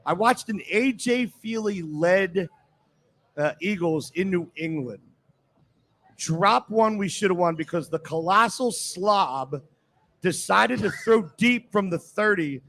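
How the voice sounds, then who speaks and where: A man's voice: high-pitched, animated, slow, fairly bright tone. A man hosting a live sports talk show, speaking in an assertive, opinionated way and often jumping in.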